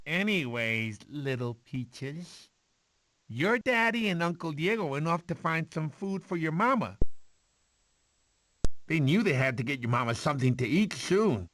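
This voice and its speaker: A man's voice: a little high, animated, measured pace, a bit bright. A man voicing a goofy, sentimental cartoon sloth uncle who rambles fondly as he tells a baby a story. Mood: doting storytelling. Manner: rambling, fussy, doting storyteller. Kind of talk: animated film character narration.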